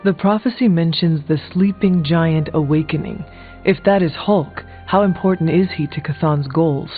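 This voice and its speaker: An actor playing a brooding, dry-witted warrior ally in a video game, speaking formally and deliberately. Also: male voice, a little high, natural voice. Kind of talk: video game character dialogue. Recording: dull band-limited game audio, music behind.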